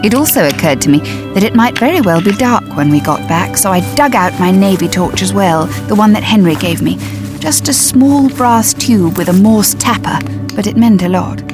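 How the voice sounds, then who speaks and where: An actress plays a prim, brisk servicewoman telling her adventure in a calm, wry audiobook style. Her voice has an average female pitch, set against clear music with birdsong. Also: lightly acted. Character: prim, brisk navy servicewoman, a time traveller's companion. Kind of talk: first-person audiobook drama narration.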